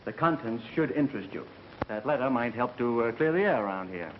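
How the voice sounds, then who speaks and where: Quick, polite actor as 1930s gentleman. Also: medium pitch, lively intonation, close to an everyday voice. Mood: smooth, informative. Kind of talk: 1930s film acting. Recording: dull, hissy old film soundtrack.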